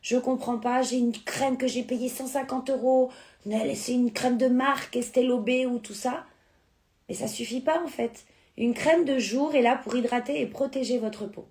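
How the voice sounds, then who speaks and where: A woman's voice: a little high, steady tone, normal speed, darkish tone. A woman whose first language is Spanish, chatting casually on a live stream as she sells beauty products and answers viewers' comments. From born in France, Spanish family.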